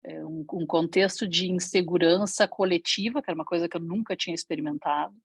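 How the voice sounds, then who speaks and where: A woman's voice: on the low side, fast talker, husky, no echo. A middle-aged Brazilian woman speaking in an online panel talk, telling a personal story candidly and with frequent hesitations. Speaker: guest speaker.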